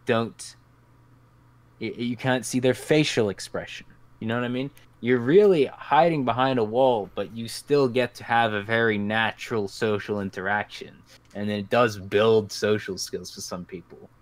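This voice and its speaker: A man with a fairly low voice hosts a loose podcast chat over a voice call. He rambles, swears freely and pokes fun at himself. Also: normal speed, dry recording. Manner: profane, rambling, self-mocking. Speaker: podcast host.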